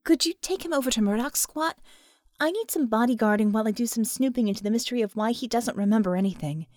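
A woman voicing a brisk, friendly young female technomage in an audiobook, peppering her orders with Russian words. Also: fairly high pitch, a little mellow, no echo, studio quality, close to an everyday voice. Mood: friendly, casual.

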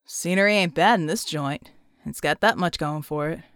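Woman playing a gruff, wry, low-voiced man. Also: normal speed, a bit bright, no room sound, studio quality. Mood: tipsy, wry. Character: gruff, irritable hard-drinking American man, wry.

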